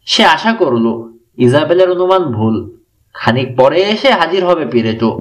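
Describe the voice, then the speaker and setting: A man narrates a mystery thriller story as an audiobook reader. His voice sits a bit high for a man and moves in lively, widely varied pitch, giving the scripted prose a dramatic shape.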